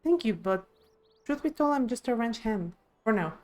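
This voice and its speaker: A woman's voice: on the high side, lively intonation, moderate pace, a little mellow. A woman reading video game dialogue aloud expressively on a live stream.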